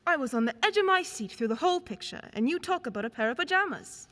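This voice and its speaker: A woman's voice: high voice, big pitch swings, fast, a bit bright. A woman playing a genial radio show announcer, with scripted old-time radio delivery. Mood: chatty, upbeat.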